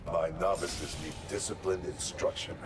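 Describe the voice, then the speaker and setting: An actor playing a proud, stern ninja master in a fighting game, with terse, formal, solemn lines. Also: male voice, low-pitched, fairly even intonation, normal speed, over loud music, natural voice. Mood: proud, grave. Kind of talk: fighting game character acting. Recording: very loud background noise.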